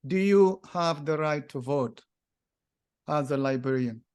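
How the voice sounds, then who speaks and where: A man interviewing a guest, asking slow, deliberate questions with many fillers. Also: a little high, a little mellow, clean tone.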